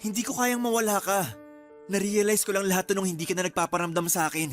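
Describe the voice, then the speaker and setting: A desperate young man, voiced by an actor pleads insistently in a Filipino radio drama. Soft music sits underneath his voice. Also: medium pitch, fairly even intonation, quick pace, natural voice. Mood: desperate, pleading. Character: desperate, pleading young man torn between two women.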